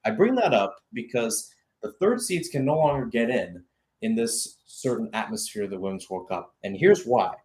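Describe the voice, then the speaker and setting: A man's voice: medium pitch, lively intonation, normal speed, darkish tone, husky. A young man giving live radio-style soccer play-by-play in short clipped phrases, with asides and self-corrections. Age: young adult. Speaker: play-by-play sports commentator.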